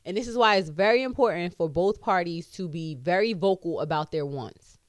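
A woman's voice: fairly low pitch, brisk, no echo, studio quality. An American woman in her thirties chatting casually as a podcast co-host, emphatic, slangy and given to swearing. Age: 30s. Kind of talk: casual opinionated chat.

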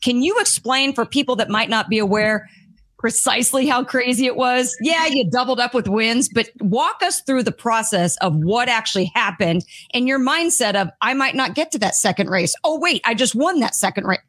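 Slightly high-voiced female co-host. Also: animated, moderate pace, a bit bright. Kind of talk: talk show interview questions.

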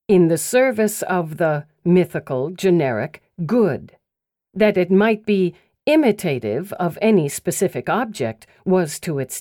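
A woman narrating literary essays and verse as a scripted audiobook, with measured, deliberate, precise phrasing. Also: fairly low pitch, warm tone, dry recording, studio quality.

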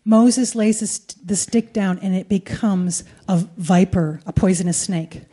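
A woman's voice: medium pitch, unhurried, mellow, husky. A woman preaching a sermon in short phrases, in a conversational, colloquial way. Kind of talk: sermon delivered in short phrases for live interpretation.

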